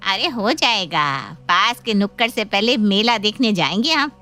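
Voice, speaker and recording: A woman playing a nosy, excitable aunt in a cartoon moral story, exclamatory, dramatic and teasing. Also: mid-range voice, measured pace, soft background music. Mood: breezy, persuading. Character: nosy, excitable visiting aunt, mother-in-law's older sister. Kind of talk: animated Hindi moral-story cartoon dub.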